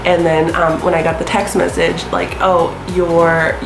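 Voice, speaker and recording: A woman's voice: fairly low pitch, completely flat delivery, unhurried, gravelly, roomy sound, over music, rough recording. A young woman in her twenties with a stuffy nose from a sinus infection, chatting casually to camera with lots of ums and likes. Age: 20s. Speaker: astrology vlogger.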